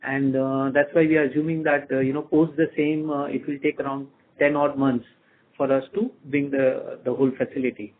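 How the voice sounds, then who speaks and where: A man with an Indian accent and a husky voice, answering analysts on an earnings call as a company executive, hesitantly and with repeated phrases. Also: medium pitch, little pitch movement, brisk. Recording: muffled narrow phone conference line.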